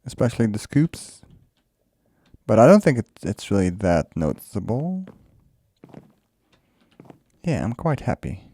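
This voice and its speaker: A man's voice: fairly low pitch, very animated, measured pace, pauses often, warm tone, no room sound. A man whose first language is Dutch, casually commenting while he builds in a game, musing aloud and laughing often. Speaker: let's play creator.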